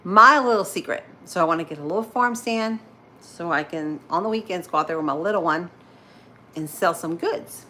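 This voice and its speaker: A woman's voice: on the low side, normal speed, bright, forceful tone, a little hiss or hum. A woman in her thirties with a Spanish-influenced accent, hosting a casual morning livestream and chatting with viewers while she cooks. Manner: chatty, rambling, answers viewer comments as she goes. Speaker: livestream host.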